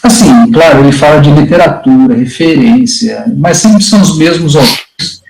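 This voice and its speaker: A man's voice: a little high, expressive, moderate pace, vocal fry, echoey room, crowd noise, poor audio. A man in a casual study-group discussion on a video call, talking hesitantly with many restarts and fillers. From Brazil.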